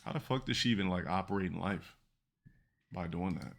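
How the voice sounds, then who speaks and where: A man's voice: low-pitched, a little fast, creaky. A man streaming and reacting to clips, talking bluntly and thinking aloud with lots of swearing. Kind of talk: casual reaction commentary.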